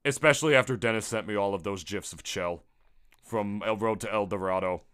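Podcast co-host: deep-voiced man, bright and forceful tone, profane, ranty, crude comic banter. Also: a little fast, clean tone. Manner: profane, ranting, crude jokes. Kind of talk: casual comedic banter.

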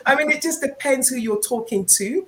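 A Nigerian woman whose first language is Yoruba, chatting on an online panel and telling stories in an animated, laughing way. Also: high voice, a little fast. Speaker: panel guest. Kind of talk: casual group chat online.

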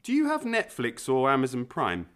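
Male teacher voice, scripted lesson questions, very animated pitch. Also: moderate pace.

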